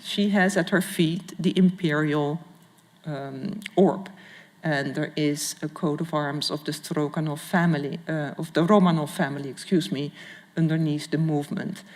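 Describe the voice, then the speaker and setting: A woman curator giving a public art history lecture in a formal, halting manner with careful phrasing. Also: sits low, steady tone, a little mellow. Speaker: museum curator and guest lecturer. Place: large indoor lecture hall with audience. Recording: reverberant lecture hall.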